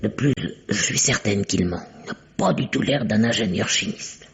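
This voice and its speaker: A woman's voice: rumbling low, animated, normal speed, a little hiss or hum. An actress playing a prim, suspicious, secretive spinster landlady with hesitant formal politeness in an old French radio mystery. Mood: suspicious, conspiratorial. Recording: dull, band-limited vintage radio recording.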